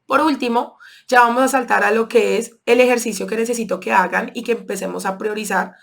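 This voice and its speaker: A woman's voice: on the high side, fairly even intonation, fairly quick, vocal fry. A woman from Colombia with a Colombian accent, teaching an online sales course and walking through examples step by step with frequent fillers. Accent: Colombian Spanish. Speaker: sales instructor.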